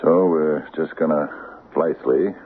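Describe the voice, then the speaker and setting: An actor playing a weary, guilt-ridden small-town barber with a halting, sardonic delivery in a radio drama. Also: male voice, fairly even intonation. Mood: weary, rueful. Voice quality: deep, gravelly. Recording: tinny, noisy old radio broadcast.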